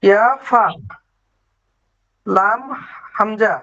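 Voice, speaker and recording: Halting student reciting over a lo-fi line. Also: medium pitch, steady tone, bright, forceful tone. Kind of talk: reciting letters in class.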